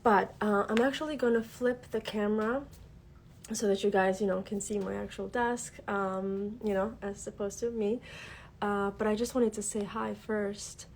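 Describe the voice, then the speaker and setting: A woman's voice: medium pitch, steady tone, moderate pace, darkish tone, slight background noise. Congested woman chatting on a craft livestream. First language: Albanian. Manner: chatty, lots of fillers, greets viewers. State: congested from a cold. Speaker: craft tutorial host. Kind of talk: casual livestream chat. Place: home craft desk.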